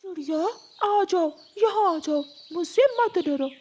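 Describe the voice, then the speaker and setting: A woman's voice: extremely high pitch, measured pace, a little mellow, a little hiss or hum, voice pushed up. A woman voicing an imposing, protective mother eagle in a cartoon, stern and emphatic. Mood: reassuring.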